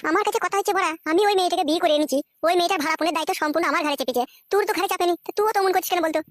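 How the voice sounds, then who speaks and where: An exasperated cartoon village husband acted with an altered voice blusters and coaxes at a frantic pace. His voice is pitched up and high, with a crude way of talking. The sound is dry and close. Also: racing, no room sound. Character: exasperated, crude village husband caught between two wives. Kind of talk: comedy cartoon dubbing.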